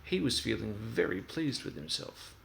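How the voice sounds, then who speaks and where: Expressive man reading a story, gravelly edge. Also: on the low side, moderate pace, slight background noise, low-quality recording. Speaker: storyteller reading children's books aloud. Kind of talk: expressive children's read-aloud narration.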